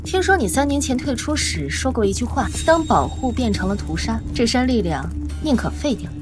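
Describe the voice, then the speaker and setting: A woman playing a fearless, assertive young squad leader in an animated apocalypse drama, speaking articulately. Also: fairly high pitch, fairly quick, over music. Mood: earnest, direct. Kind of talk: animated web-drama dialogue.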